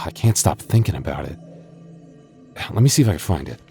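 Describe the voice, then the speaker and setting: Guy doing a goth bf asmr roleplay, really low voice, playful teasing, faint music underneath. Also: very animated, brisk, gravelly, dark tone, heavily acted. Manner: teasing, stammering, chuckling often. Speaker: voice actor.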